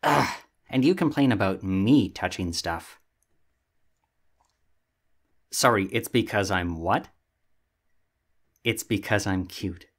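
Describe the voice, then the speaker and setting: A sassy young catboy played by a man with a fairly low voice. He is lazy and vain, talking casually and huffily, like a roommate in an ASMR roleplay. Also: unhurried. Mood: huffy, smug. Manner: sassy, casual, theatrically huffy.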